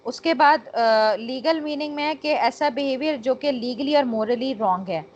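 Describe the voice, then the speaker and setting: A woman's voice: high voice, moderate pace, a little mellow. A woman with a Pakistani accent giving an instructional law lecture point by point, mixing in English technical terms. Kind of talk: exam-prep lecture, explaining point by point. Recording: dull, lo-fi lecture recording.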